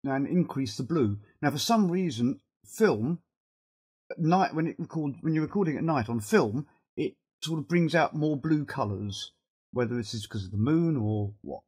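A middle-aged man with a cold and a fading, husky voice, talking haltingly through an informal step-by-step software tutorial and thinking aloud as he works. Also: medium pitch, expressive. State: has a cold, losing his voice. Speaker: video editing tutorial presenter.

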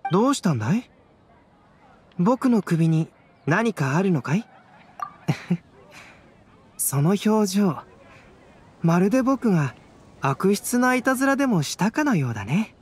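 An actor playing a calm young male alchemist scholar in an anime game, measured, wry and softly teasing. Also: high-pitched, husky, heavily acted. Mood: calm, amused. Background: game music.

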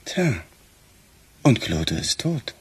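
An actor playing a weary, wry male police detective in a conversational style for a German radio crime drama, with a rough, husky voice. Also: mid-range voice, very animated, extremely slow, exaggerated voice. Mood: weary, resigned.

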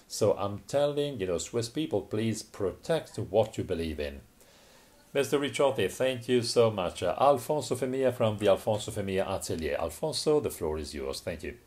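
Low-voiced male interpreter, halting. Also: lively intonation, darkish tone. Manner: hesitant, many fillers and restarts. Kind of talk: simultaneous interpretation of a video-call talk.

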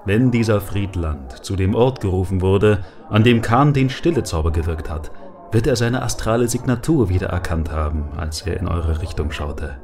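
A man playing a genial, measured, worn-out ork fixer in a German audio drama. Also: deep voice. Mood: calm. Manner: calm, courteous. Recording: music bed behind the voice.

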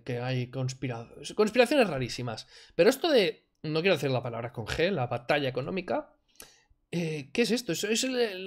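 A man, commenting sarcastically on a video clip his home desk. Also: on the high side. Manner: sarcastic, animated, mocking. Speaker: reaction streamer. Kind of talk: casual commentary over a clip. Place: home room, indoors. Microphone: desk mic, wearing headphones.

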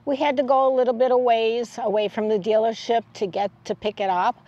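Higher-voiced older American woman, chatting. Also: fairly even intonation, a little fast, dark tone, highs cut off. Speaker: travel vlog host. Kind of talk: casual chat recounting a trip. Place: outdoors in open air beside a car.

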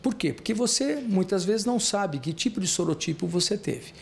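A man's voice: high voice, expressive, moderate pace, gravelly, slight background noise. A man in his fifties with a Brazilian accent, explaining as a clinic director in an interview. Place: small clinic room. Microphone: handheld interview microphone.

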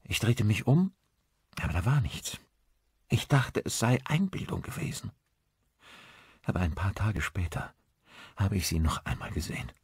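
A man's voice: lowish voice, big pitch swings, moderate pace, long pauses, slightly rough, no echo, clean studio sound, full character voice. A man voicing a frightened, sarcastic, shaken wife in an audiobook, emotional and emphatic. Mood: shaken, confiding. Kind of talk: dramatised audiobook dialogue.

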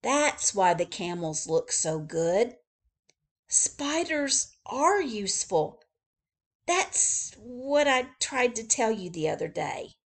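A woman reading a storybook aloud, voicing an excited male zoo worker in a raised, eager voice. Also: slow pace, few pauses. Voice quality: high, loud, eager.

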